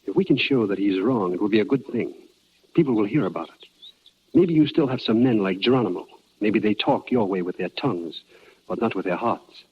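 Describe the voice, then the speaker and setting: A man's voice: fairly low pitch, lively intonation, a little fast, slight background noise, close to an everyday voice. An actor playing an earnest frontiersman and peacemaker in a 1950s radio drama, also narrating in the first person. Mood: calm, reassuring. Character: earnest frontiersman and peace-broker, grieving husband. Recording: muffled vintage radio broadcast with music.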